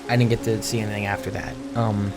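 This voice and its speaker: A man hosting a gaming video, rambling casually in a halting, self-conscious way with some swearing. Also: on the low side, little pitch movement, over music. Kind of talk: casual rambling chat while playing.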